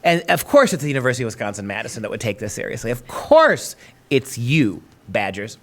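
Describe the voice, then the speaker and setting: A man's voice: medium pitch, big pitch swings, brisk. A man hosting a talk show, giving sarcastic, emphatic opinionated commentary.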